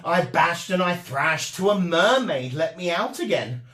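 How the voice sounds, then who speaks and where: Man acting out a high, earnest little boy fish. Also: sing-song, measured pace, a bit bright, echoey room. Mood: apologetic, excited. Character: small young male fish, fanciful excuse-making storyteller. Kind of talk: children's storybook read-aloud.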